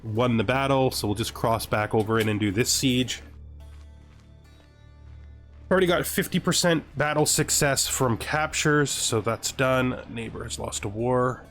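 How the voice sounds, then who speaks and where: A man with a mid-pitched voice narrates a strategy game in a casual way. He muses aloud as he plays, posing questions to himself and answering them, with music playing behind his voice. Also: a little slow, fairly bright tone. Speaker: gamer narrating a let's play. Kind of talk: casual gameplay commentary, thinking aloud.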